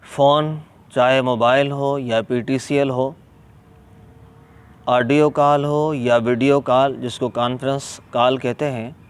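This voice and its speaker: A man with a Pakistani accent explaining a religious ruling as an Islamic scholar, deliberate and emphatic, repeating key points. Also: fairly even intonation. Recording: clear background noise, ambient vehicle rumble.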